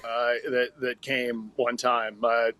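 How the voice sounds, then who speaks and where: A man's voice: mid-range voice, little pitch movement, slow, bright, forceful tone, clean tone, no echo. An American man, a radio hockey announcer, talking and telling stories conversationally as a podcast guest. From Minnesota, United States.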